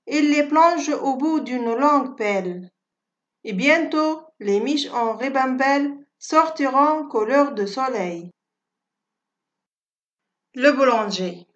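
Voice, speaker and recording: A woman reciting a poem for schoolchildren in a measured, deliberate, carefully phrased way. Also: sits high, expressive. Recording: dull, lo-fi audio.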